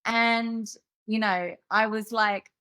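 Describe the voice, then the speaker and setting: A woman's voice: on the high side, steady tone, slow, bright, forceful tone, clean tone, dry recording. Female musician guest from the UK, candid storyteller, filler-heavy, dry recording. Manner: rambling, many fillers and restarts.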